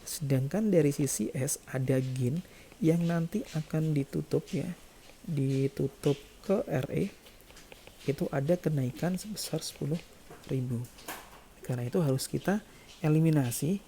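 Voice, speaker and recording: Dark-voiced man teaching step by step. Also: on the high side, unhurried, vocal fry, a little hiss or hum, poor audio. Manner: repeats key phrases for emphasis, checks in often. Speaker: accounting lecturer. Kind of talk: explanatory tutorial lecture, working through figures step by step.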